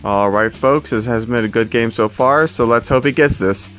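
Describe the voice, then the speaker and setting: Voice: medium pitch, normal speed, over music, natural voice. A performer playing a casual, rambling sports commentator who hesitates through mock play-by-play. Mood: casual, unsure. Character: hesitant sports commentator at a silly bowling contest.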